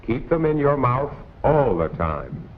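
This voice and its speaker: A man's voice: medium pitch, sing-song, a little slow. An American man narrating a 1940s instructional film in a plain-spoken, colloquial, admonishing way. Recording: tinny, noisy 1940s film soundtrack.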